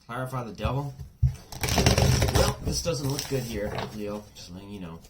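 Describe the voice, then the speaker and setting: A man giving a casual tarot reading, chatty and blunt, swearing freely and full of asides, his voice a bit worn and hoarse as if under the weather. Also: mid-range voice, expressive, normal speed, heavy background noise. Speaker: tarot reader.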